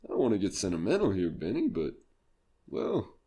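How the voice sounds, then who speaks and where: A man playing a flattering, small-time comedy club manager in an audio drama, halting and ingratiating. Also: lowish voice, vocal fry, heavily acted. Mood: coaxing, deferential.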